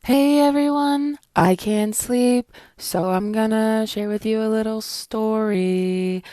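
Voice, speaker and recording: A woman's voice: fairly high pitch, takes it slowly, dark tone, dry recording, studio quality. A woman telling a casual, jokey storytime to the camera, amused by her own tale.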